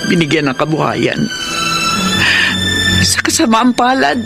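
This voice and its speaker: Solemn, firm middle-aged mother, radio drama delivery, under loud dramatic music. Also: fairly high pitch, big pitch swings, a little slow, creaky, exaggerated voice, low-quality recording. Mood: solemn, confessional. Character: older mother confessing long-kept secrets, firm and sorrowful. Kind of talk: Filipino radio drama acting.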